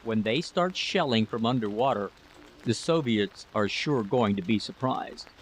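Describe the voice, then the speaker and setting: A man's voice: lowish voice, lively intonation, measured pace, poor audio. A man voicing a proud, irritable husband in a story, complacent and brushing off worries. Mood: eager. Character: dismissive war-technician husband, proud and irritable.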